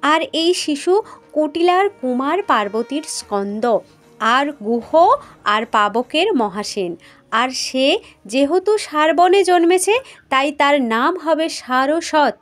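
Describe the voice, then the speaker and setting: Proclaiming father god of myth read by a woman, high voice, slow pace, music underneath. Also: expressive. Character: great male god, joyful father naming his son. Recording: music behind the voice.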